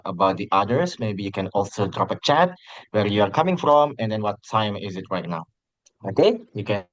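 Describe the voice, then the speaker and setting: A man's voice: lowish voice, no room sound. A man moderating an online teaching webinar, introducing the guest with many fillers and restarts. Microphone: video call. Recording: dull, dry online call audio.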